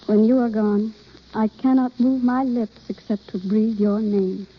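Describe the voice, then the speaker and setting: A woman's voice: fairly high pitch, fairly even intonation, unhurried. An actress playing an eager, lovestruck young woman who studies acting, with coy, theatrical delivery in vintage radio drama style. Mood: romantic, yearning. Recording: dull old radio broadcast recording.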